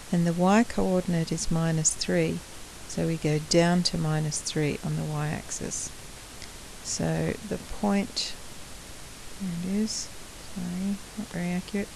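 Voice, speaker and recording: A woman teacher with a croaky voice gives a patient maths lesson recorded at home on a laptop, clearing her throat now and then. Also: fairly low pitch, fairly even intonation, a little mellow, a little hiss or hum, low-quality recording. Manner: slow, patient explaining, with frequent clearing of the throat.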